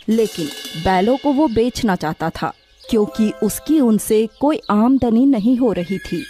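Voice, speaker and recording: A woman's voice: a little high, darkish tone. A woman narrator reading a scripted moral story for children.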